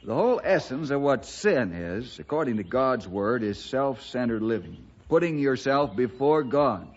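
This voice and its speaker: A principled forest ranger in a vintage radio play. He speaks in an earnest, measured and fatherly manner. The sound is dull and narrow, like an old broadcast. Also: mid-range voice, very animated. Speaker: ranger and lead character. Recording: dull, band-limited old radio recording.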